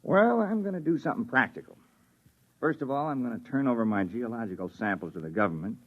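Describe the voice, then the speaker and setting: An actor playing a wisecracking, impatient geologist on a moon crew in a 1950s radio drama. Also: male voice, mid-range voice, lively intonation, quick pace, natural voice. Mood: joking. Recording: dull, lo-fi 1950s radio recording.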